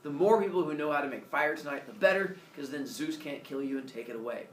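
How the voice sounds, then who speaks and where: A man's voice: on the high side, animated, fast, lightly acted. A man voicing a cheeky, stubborn titan trickster in a spoken myth, with a casual, defiant manner. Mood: eager, pleased. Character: cheeky, stubborn male titan trickster who helps humans. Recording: roomy, distant mic, faint noise.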